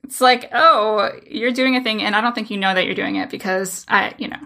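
Podcast host, woman, bright voice, chatty and casual. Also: medium pitch, fairly quick, vocal fry. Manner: chatty, laughs between phrases.

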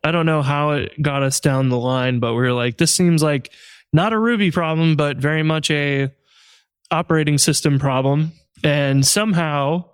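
Laid-back man hosting with a smooth voice. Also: fairly high pitch, dry recording. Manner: relaxed, laughs often, rambling with many "like"s. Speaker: podcast host. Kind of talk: casual podcast chat.

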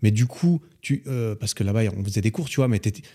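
A man's voice: fairly low pitch, expressive, fast talker, mellow, breathy edge. A man hosting a casual podcast chat, asking questions with hesitant restarts. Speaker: podcast host.

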